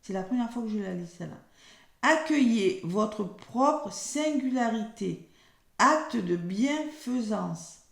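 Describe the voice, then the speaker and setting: French woman reader, hoarse and softly spoken, gravelly fry, echoey room. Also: a little high, animated. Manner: soft-spoken, slow and measured when reading cards aloud. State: losing her voice, hoarse. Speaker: tarot card reader. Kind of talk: weekly horoscope card reading.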